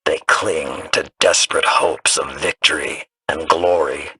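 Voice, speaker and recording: An actor playing a stern, proud old immortal warlord in a video game, gravelly and raspy, speaking in a grave, formal way. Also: male voice, very deep voice, steady tone, a little slow, bright, forceful tone, heavily acted. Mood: stern, disdainful. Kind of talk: scripted video game dialogue.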